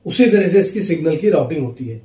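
A man with a fairly high, mellow voice walks through a product in a casual, explanatory way. He mixes in English phrases. The small office sounds echoey. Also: animated, fast talker. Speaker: product reviewer and seller. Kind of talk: casual unboxing and product demonstration. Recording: roomy echo, faint background noise.

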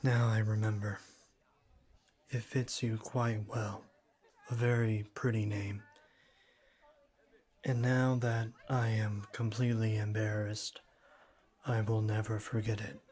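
A man playing a shy young classmate in an ASMR roleplay, speaking formally and stiffly with hesitant stammers and a cool, deadpan manner. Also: lowish voice, slow pace, long pauses. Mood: embarrassed, earnest. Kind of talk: ASMR roleplay, scripted one-sided dialogue.

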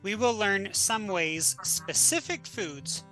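A woman's voice: medium pitch, sing-song, slow pace, music in the background, low-quality recording. A woman hosting a podcast, chatty and playful, with lots of filler "like". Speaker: podcast host. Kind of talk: casual conversational interview.

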